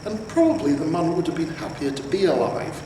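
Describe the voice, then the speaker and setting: A man narrates a story in a measured, pausing delivery. His voice is on the higher side, heard from a distance in a very reverberant room with faint noise. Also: big pitch swings. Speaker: amateur audiobook reader. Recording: roomy, echoing, distant mic with noise.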